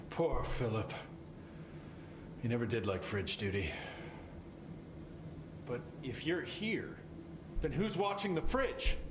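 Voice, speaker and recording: Male, exasperated game-world containment supervisor; animated pitch, noisy backdrop. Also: slow pace, long pauses. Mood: worried, exasperated. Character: flustered, wry male government containment supervisor. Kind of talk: video game dialogue acting. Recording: roomy, clearly noisy game audio in stream.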